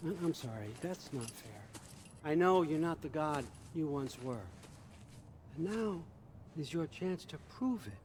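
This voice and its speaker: An actor playing a manipulative, grandiose god in a video game, with a deliberate, theatrical and needling delivery. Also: male voice, fairly high pitch, lively intonation, background noise. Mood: mocking. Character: smooth-talking manipulative god, mocking and grandiose. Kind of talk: cinematic video game acting.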